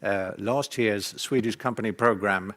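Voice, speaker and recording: A man's voice: on the low side, a little slow, fairly bright tone. A man moderating a business webinar, speaking formally with frequent fillers.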